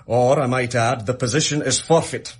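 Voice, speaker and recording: An actor playing a pompous, effusive official in a radio drama, grandiose and theatrical in delivery. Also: male voice, mid-range voice, normal speed, breathy edge, close to an everyday voice. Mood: brisk, businesslike. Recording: crowd murmur behind the voice.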